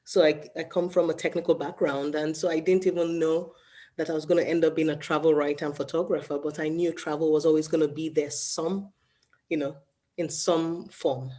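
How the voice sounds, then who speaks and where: Relaxed female guest from Nigeria, deep and warm tone, loose conversational delivery. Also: fairly quick. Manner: relaxed, fills with "you know". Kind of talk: casual interview answers.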